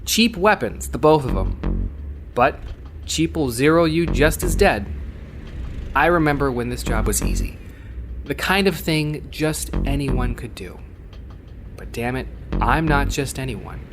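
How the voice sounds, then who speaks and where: A man's voice: medium pitch, wildly swooping pitch, a little slow, long pauses, noisy background. A man playing a jaded, wry cyberpunk courier, narrating a gritty first-person audio drama in a world-weary, sardonic manner. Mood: wry, wary.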